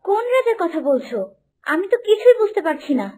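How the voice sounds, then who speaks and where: A woman's voice: very high-pitched, big pitch swings, fairly quick, a little mellow. A woman playing a bewildered young queen in a cartoon, with a puzzled, questioning manner. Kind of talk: cartoon story dubbing.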